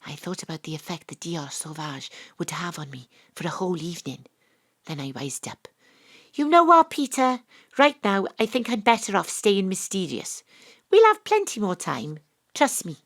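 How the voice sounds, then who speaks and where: A woman's voice: lowish voice, sing-song, normal speed, fairly bright tone, full character voice. A woman playing a wry, principled young detective with a Welsh accent, narrating and acting in an audio drama. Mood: friendly, wry.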